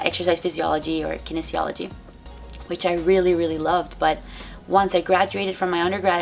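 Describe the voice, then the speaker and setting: A woman's voice: lowish voice, gravelly. A young woman from Venezuela with a Venezuelan Spanish accent, talking casually and earnestly to viewers and answering their questions in a vlog. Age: young adult. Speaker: strength coach and physical therapy graduate. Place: parked car, then indoors by a window.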